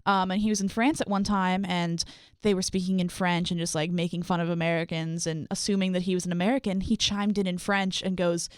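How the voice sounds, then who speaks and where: Smooth-voiced woman radio host. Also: lowish voice, level tone, fairly quick, no echo, clean studio sound. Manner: chatty, tells side stories. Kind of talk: casual interview chat. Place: radio studio.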